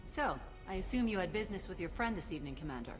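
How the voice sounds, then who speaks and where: A woman's voice: on the low side, lively intonation, racing, lightly acted. An actress playing a brash, self-assured female alien special agent in a video game, sardonic, dry and commanding. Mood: cool authority. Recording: music playing behind the voice. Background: game soundtrack music.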